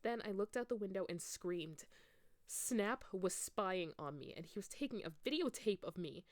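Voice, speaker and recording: A woman's voice: mid-range voice, lively intonation, moderate pace, no room sound, clean studio sound. A woman narrating fan fiction aloud in an amateur, unrehearsed and theatrical way.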